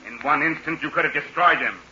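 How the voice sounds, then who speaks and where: A man's voice: fairly high pitch, fast talker, pushed and bright. A cold, wrathful villain boss in an old radio serial. He speaks formally and theatrically with a hard, tense edge. The recording is dull and a little noisy. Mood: indignant, wrathful. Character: scheming villain crime boss, menacing father, cold and wrathful. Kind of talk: 1940s radio serial acting.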